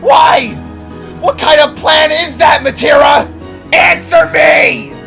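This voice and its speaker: A man's voice: extremely high pitch, steady tone, takes it slowly, vocal fry, sharp tone, soft background music, voice pushed up, exaggerated voice. A man voicing an anguished, enraged spark, a grieving father screaming in sorrow and fury in a fantasy audio story. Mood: furious, despairing.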